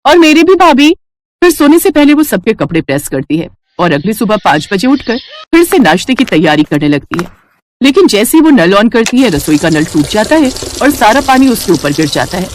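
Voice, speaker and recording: A woman's voice: medium pitch, sing-song, fairly quick, darkish tone, a little hiss or hum. A woman reading scripted moral-story narration as the storyteller.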